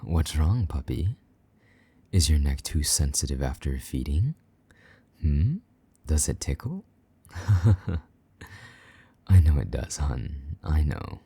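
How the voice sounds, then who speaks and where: Man voicing a very deep, husky, possessive vampire knight. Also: very animated, warm tone, full character voice. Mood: teasing, smug. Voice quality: low, husky, breathy, often half-whispered. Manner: slow, taunting, commanding. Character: possessive, jealous male vampire knight, condescending, sadistic. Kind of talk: intimate roleplay audio drama.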